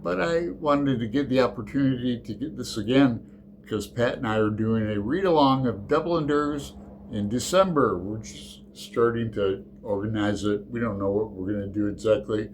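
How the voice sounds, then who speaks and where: A man's voice: mid-range voice, moderate pace, a little hiss or hum. A man hosting his book channel, chatting through a tag in a rambling, hesitant way with lots of fillers. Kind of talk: casual book tag chat.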